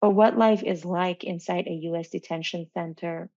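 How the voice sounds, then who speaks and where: A woman's voice: on the low side, steady tone, normal speed, vocal fry, a little mellow, muffled recording. A woman hosting an interview podcast, speaking in a prepared presenter style. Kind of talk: scripted podcast introduction and interview.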